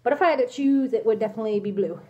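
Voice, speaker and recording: Young woman, congested, hesitant, apologetic, slightly high voice, phone at arm's length. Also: racing, warm tone, muffled recording, slight background noise. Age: young adult. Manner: hesitant, lots of ums, apologetic. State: congested from a cold. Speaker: fursuit vlogger answering questions. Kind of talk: casual Q&A vlog. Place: small indoor room, in costume. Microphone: phone camera at arm's length.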